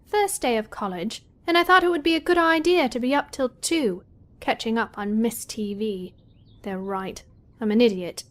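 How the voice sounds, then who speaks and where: A woman's voice: a little high, normal speed, background noise, lightly acted. A woman playing a sardonic, self-critical seventeen-year-old college girl in an audio drama, with a wry, grumbling inner monologue. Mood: groggy, wry.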